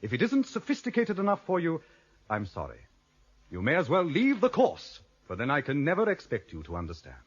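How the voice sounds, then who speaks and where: A man's voice: fairly high pitch, huge pitch swings, brisk, husky, exaggerated voice. An actor playing an exasperated, sardonic male university lecturer in a radio drama, theatrical and emphatic. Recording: dull, muffled old radio drama recording.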